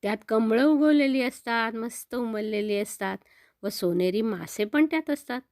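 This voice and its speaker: A woman's voice: a little high, normal speed, darkish tone, no room sound, clean studio sound. An older woman telling a story to children in a simple, grandmotherly way, often repeating words.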